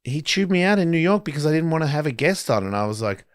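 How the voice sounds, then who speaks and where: A man's voice: fairly high pitch, big pitch swings, quick pace, no echo, clean studio sound. A man hosting a talk show, chatting candidly in a blunt, profane way and acting out the exchanges he recalls. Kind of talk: candid casual chat, anecdotes.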